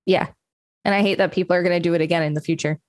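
A woman's voice: on the low side, fairly even intonation, racing, no echo, clean studio sound. A woman co-hosting a podcast, reading an article aloud with casual asides. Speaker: podcast co-host.

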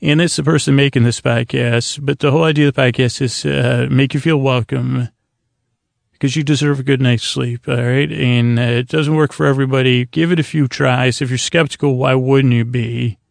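A man hosting a bedtime podcast in a creaky, lulling voice, meandering and halting as he blathers soothingly. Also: mid-range voice, steady tone, dry recording, studio quality. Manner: meandering, halting, repeats himself. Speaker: host of a bedtime story podcast. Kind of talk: rambling bedtime monologue, soothing.

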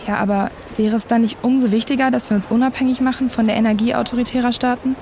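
A young woman playing a cheeky, opinionated student activist with slangy, wry delivery and a creaky voice in naturalistic audio drama. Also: fairly high pitch, steady tone, quick pace, darkish tone, lightly acted. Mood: persuasive. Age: young adult. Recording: faint outdoor noise.

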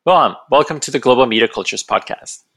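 A man speaking as the host of an academic podcast interview, in a measured, formal register. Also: medium pitch, lively intonation, gravelly, fairly bright tone, slightly rough. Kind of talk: podcast interview with scripted introduction.

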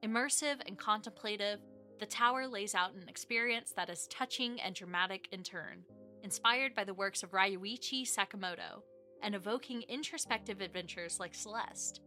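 A woman's voice: mid-range voice, normal speed, sharp tone, faint music behind. A woman reading scripted promotional announcements as a podcast producer.

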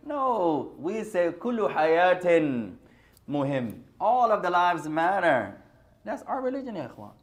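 Man, high-pitched, informal religious lesson, conversational, speaks to the audience as brothers. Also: very animated, a little slow. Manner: conversational, mixes Arabic phrases, addresses audience as brothers. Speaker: Islamic teacher.

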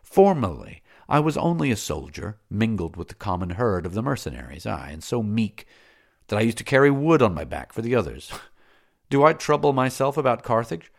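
A man's voice: fairly low pitch, sing-song, normal speed, gravelly, pitched above the performer's own voice, exaggerated voice. A man voicing an adoring, fierce mercenary chief in an audiobook. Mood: coaxing. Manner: passionate, pleading, adoring. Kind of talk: audiobook character voice.

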